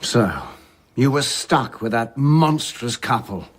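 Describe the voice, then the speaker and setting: An actor playing a sceptical listener in an audio drama, putting probing questions in a dry, husky voice. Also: male voice, medium pitch, takes it slowly, close to an everyday voice. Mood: dry scepticism.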